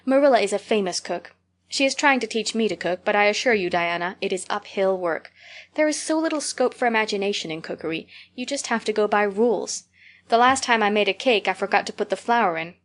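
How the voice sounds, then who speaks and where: A woman's voice: medium pitch, animated, a little fast, lightly acted. Brief: woman reader voicing a dreamy, dramatic little girl, pouring out tales in a gushing rush. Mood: earnest, dramatic. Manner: gushing, breathless storytelling. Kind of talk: audiobook character reading.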